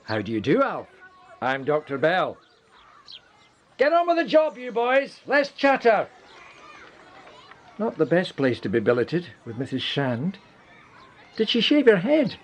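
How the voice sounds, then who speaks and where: A man playing a kindly, brisk wartime village doctor in a radio play, with a Scottish accent and a hearty, avuncular manner. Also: high voice, wildly swooping pitch, heavily acted. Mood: brisk, gruff.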